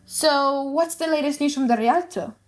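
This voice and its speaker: Woman playing a chatty young gentleman gossip, teasing, high voice, audiobook stage play. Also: moderate pace, natural voice. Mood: gossipy, worried. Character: gossipy, rambling young Venetian gentleman, mocking. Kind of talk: dramatic audiobook reading of a play.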